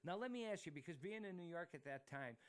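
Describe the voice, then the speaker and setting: A man's voice: on the high side, expressive, quick pace, clear, resonant tone. A man hosting a talk show in a casual, chatty way, often exclaiming and repeating himself as he interviews and plugs sponsors.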